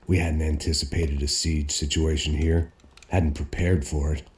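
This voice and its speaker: An actor playing a weary, cynical middle-aged American ex-cop bodyguard in a noir video game, deadpan and sardonic. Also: male voice, rumbling low, normal speed, slight background noise. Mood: wry, brooding. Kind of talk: hard-boiled noir game narration and dialogue.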